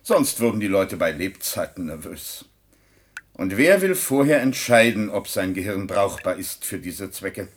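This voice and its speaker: Callous scientist doctor in a radio drama, lowish husky voice, brusque and dismissive. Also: male voice, expressive, a little slow, exaggerated voice. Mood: cool, dismissive. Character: callous, impatient male scientist doctor, coolly self-justifying. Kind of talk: German science-fiction radio drama acting.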